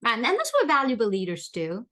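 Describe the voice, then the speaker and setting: A woman's voice: on the high side, huge pitch swings, fairly quick, pushed and bright. A woman from the Bahamas hosting a leadership interview, encouraging and repeating words as she builds her questions. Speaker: podcast host. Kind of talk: interview chat.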